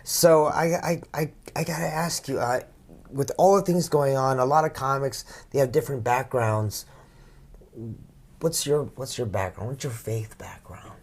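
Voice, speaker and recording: A man hosting an interview, a comic himself, asking questions in a halting way with repeated words. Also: mid-range voice. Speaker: talk show host and comedian. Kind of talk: casual interview.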